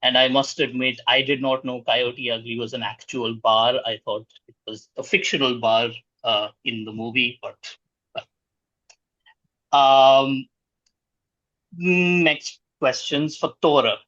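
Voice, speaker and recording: A man hosting a quiz, reading out questions in a formal, measured way. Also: mid-range voice, fairly even intonation, pushed and bright, dry recording, muffled recording.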